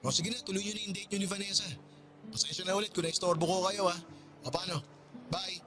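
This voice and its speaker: A man's voice: sits high, steady tone, fast, natural voice. A man playing a polite, apologetic male friend. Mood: friendly. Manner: polite, apologetic, warmly inviting. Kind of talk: radio drama acting.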